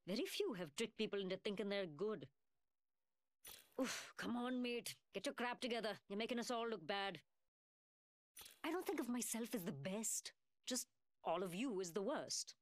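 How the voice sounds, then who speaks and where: A woman's voice: mid-range voice, lively intonation, moderate pace, long pauses, dry recording, close to an everyday voice. An actress voicing a cocky, mocking young woman gunsmith in a video game, with a British working-class London accent and a cheeky, sarcastic manner. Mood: scornful. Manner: taunting, slangy, sarcastic. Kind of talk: video game character voice lines.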